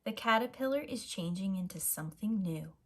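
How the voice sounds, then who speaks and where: A woman's voice: medium pitch, expressive, brisk, fairly bright tone. A woman voicing a kind, encouraging father cat in a picture book, explaining things simply and patiently. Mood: reassuring.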